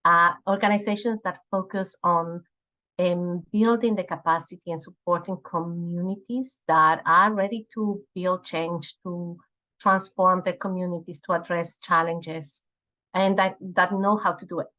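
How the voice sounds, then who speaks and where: A woman in her forties from Ecuador, answering interview questions in an earnest, halting way with many fillers and repeated words. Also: lowish voice, fairly even intonation, sharp tone, rough recording. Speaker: guest, nonprofit fundraising director.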